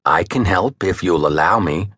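A man voicing an ancient female serpent naga who speaks softly with a hiss, calm and hushed. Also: low voice, slow, breathy edge, dry recording, heavily acted. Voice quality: soft, hissing, hauntingly beautiful.

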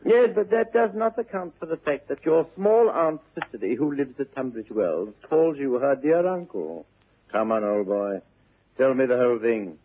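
An actor playing a witty, idle young gentleman with an upper-class English accent, arch and mock-serious in a vintage theatrical comedy style. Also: mid-range voice, moderate pace, natural voice. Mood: accusing, amused. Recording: dull, muffled old radio recording.

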